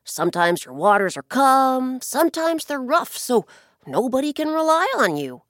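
A woman's voice: high voice, sing-song, moderate pace, fairly bright tone, no room sound, clean studio sound, voice pushed up. A woman voicing a scheming, mischievous male blackbird in a children's story, talking in a sly, conspiratorial way.

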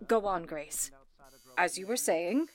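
An actress playing a composed, authoritative supervisor of telephone operators in a 1930s-style radio play, measured and formal. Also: female voice, on the low side, expressive. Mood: composed, grave.

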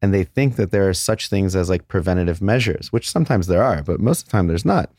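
Blunt low-voiced surgeon, dry mic. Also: male voice, expressive, fast talker, a little mellow, dry recording. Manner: blunt, candid, with crude jokes and laughs. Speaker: plastic surgeon. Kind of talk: casual podcast interview.

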